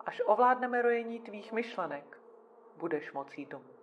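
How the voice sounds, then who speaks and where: An actress playing a controlling psychiatrist in an audio drama, speaking in a measured, formal and condescending manner. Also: female voice, mid-range voice, expressive, narrow, tinny audio, a little hiss or hum, natural voice. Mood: patronizing, firm. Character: controlling, clinical female psychiatrist, patronizingly calm.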